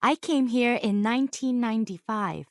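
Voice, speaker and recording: A voice actress plays a friendly young woman in an English textbook dialogue. Her voice is high and recorded very dry. The delivery is scripted for learners. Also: normal speed, no echo, close to an everyday voice. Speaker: voice actor in English lesson dialogues.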